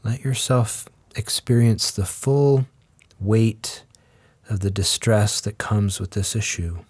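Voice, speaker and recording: Slow, low-pitched man guiding a meditation. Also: slightly rough, no echo. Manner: gentle, measured, with long pauses. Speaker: guided meditation teacher. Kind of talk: guided meditation, slow scripted instruction.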